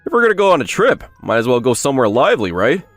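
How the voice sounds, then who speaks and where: A fun-loving middle-aged father from a manga dub, voiced by a man, brash and quick. His pitch moves around a lot, and the recording is dry and close. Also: huge pitch swings, no echo, faint music behind. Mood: upbeat. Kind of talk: English manga dub acting.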